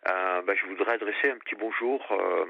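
A man's voice: fairly low pitch, a little fast, vocal fry, no echo. An older man from La Réunion calling a radio show by telephone, talking candidly in an earnest, outspoken way over a muffled line. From La Réunion, France. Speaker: radio phone-in caller. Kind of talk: candid personal conversation.

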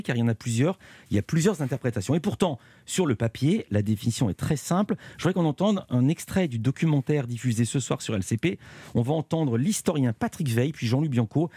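A male radio host with a mellow, slightly husky voice. He talks quickly and presses guests with brisk questions, recorded close and dry in a studio. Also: medium pitch, no room sound. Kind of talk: live radio interview on a media programme.

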